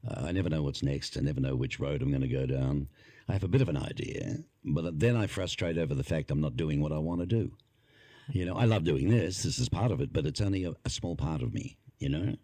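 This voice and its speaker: A man's voice: a bass voice, lively intonation, fast talker, warm tone, no room sound. A man hosting a podcast interview, rambling in casual chat and putting questions to his guests. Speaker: podcast host.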